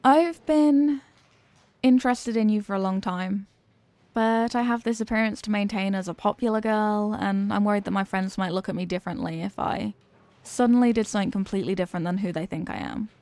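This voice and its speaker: A woman's voice: medium pitch, fairly quick, darkish tone, dry recording, close to an everyday voice. A young woman acting a flustered, coy popular cheerleader girl with a secret crush, in an intimate ASMR romance roleplay. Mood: nervous, confessional. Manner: coy, flustered, dramatic, with hesitant stammers.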